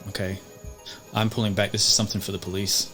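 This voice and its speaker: A man's voice: on the low side, flat delivery, fast talker, a bit bright, soft background music. A man chatting casually as a player at a tabletop roleplay game.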